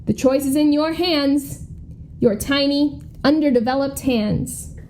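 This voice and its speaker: A woman's voice: high-pitched, takes it slowly, darkish tone. A young woman playing an earnest, pompous schoolgirl running for class president in a comic radio play, speechifying grandly. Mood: solemn, accusing. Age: young adult.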